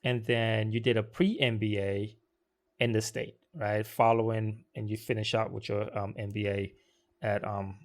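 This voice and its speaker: A man's voice: lowish voice, level tone, a little slow. A man hosting an interview podcast, asking long, encouraging questions in a casual, friendly manner. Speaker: podcast host.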